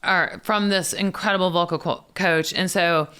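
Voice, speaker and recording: Bright, loud-voiced woman host. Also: on the low side, fairly even intonation, normal speed, smooth. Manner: chatty, demonstrates vocal warm-ups. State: tired voice. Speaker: podcast host. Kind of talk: casual chat.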